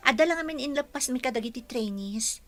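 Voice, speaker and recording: A woman's voice: sits high, expressive, quick pace. A woman playing an eager, respectful, hardworking employee in an Ilocano radio drama, with a deferential manner. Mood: respectful, cheerful.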